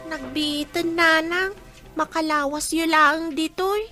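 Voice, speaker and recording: A meek young schoolgirl in a radio drama. The voice is extremely high and bright, timid and plaintive, with an Ilocano accent. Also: steady tone, slow pace, faint music behind, exaggerated voice. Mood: worried, subdued. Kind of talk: Filipino radio drama acting.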